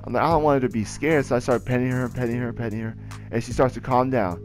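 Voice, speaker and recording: Excitable young man rambling through stories over music. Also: mid-range voice, quick pace. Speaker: video creator telling personal ghost stories. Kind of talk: casual storytelling over gameplay.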